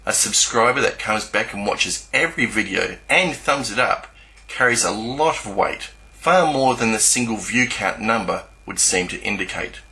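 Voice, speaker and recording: Very bright, crisp-edged male explainer. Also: fairly low pitch, animated, normal speed, rough recording. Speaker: YouTube channel narrator. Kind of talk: scripted explanatory narration.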